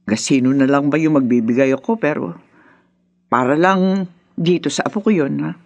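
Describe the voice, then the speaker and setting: A woman's voice: deep voice, moderate pace, darkish tone, no room sound, lo-fi sound. An actress playing a generous, insistent older mother and grandmother with a Filipino accent in a radio drama. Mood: caring, firm.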